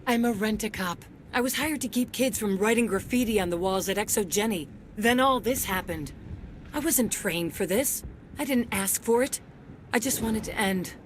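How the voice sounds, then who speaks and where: An actress playing a jaded, resentful security officer in a video game, terse and sardonic. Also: female voice, mid-range voice, moderate pace, a little hiss or hum, close to an everyday voice. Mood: guarded, jaded.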